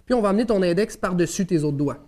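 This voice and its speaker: A man's voice: sits high, animated, a little mellow. A man from Saguenay with a Québécois accent, a golf instructor explaining technique step by step and checking in with "ok?". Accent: Québécois French. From Saguenay, Quebec. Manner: quick, coaching with frequent "ok?" check-ins. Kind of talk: instructional demonstration.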